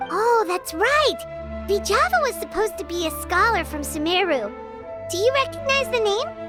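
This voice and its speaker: A woman voicing a tiny, excitable female floating companion in a game, eager and quick to exclaim. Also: very high-pitched. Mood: curious. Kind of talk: game cutscene voice acting. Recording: game audio with background music.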